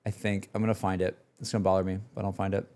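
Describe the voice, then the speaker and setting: A man chatting casually as a streamer reacting to music, with an excitable, slangy, rambling way of talking. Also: sits low, racing. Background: music playing behind the voice.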